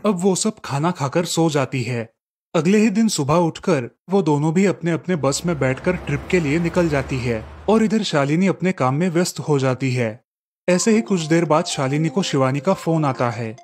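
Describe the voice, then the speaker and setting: A man narrating a cartoon story with a steady storytelling delivery. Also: fairly high pitch, normal speed.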